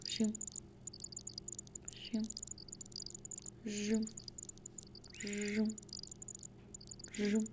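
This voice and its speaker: A woman playing a friendly, reassuring technician at a futuristic customization service in a soft-spoken, casual ASMR roleplay. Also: medium pitch, completely flat delivery, noisy background. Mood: gentle, reassuring. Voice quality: soft, creaky. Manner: gentle, reassuring, casual, unhurried.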